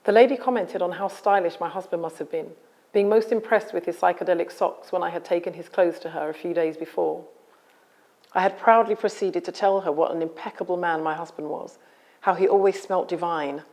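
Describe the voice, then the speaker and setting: A woman author speaking in a measured, articulate and solemn way about personal experience. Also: fairly low pitch, a little mellow. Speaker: author and guest. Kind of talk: reading a memoir aloud, then interview talk.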